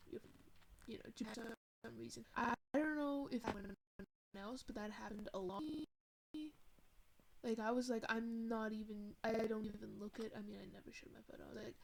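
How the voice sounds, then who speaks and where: A woman's voice: fairly high pitch, creaky, a little mellow, a little hiss or hum, low-quality recording. A young woman from Thailand streaming a game, telling a story in a chatty, rambling way full of fillers and asides. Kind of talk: casual storytelling while gaming.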